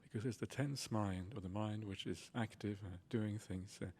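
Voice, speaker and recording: A man leading a guided meditation as a teacher, speaking in a soothing, unhurried and reassuring manner. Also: lowish voice, a little mellow. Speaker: Buddhist monk and meditation teacher.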